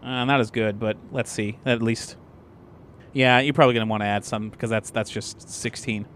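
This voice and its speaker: A man's voice: on the low side, fairly even intonation, moderate pace, a bit bright, a little hiss or hum. A man bantering casually as a tabletop game player, informal and given to repeating himself.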